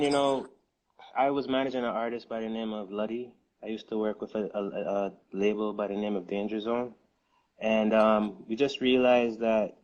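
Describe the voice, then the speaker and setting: A man answering interview questions in a relaxed, hesitant way, with many fillers and restarts. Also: fairly low pitch, lo-fi sound. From United States. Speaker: guest, music distribution co-founder.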